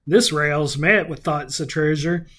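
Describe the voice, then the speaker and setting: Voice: medium pitch, measured pace, fairly bright tone. A podcast host recapping a fantasy novel in a casual read-along chat. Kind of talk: book recap with casual banter.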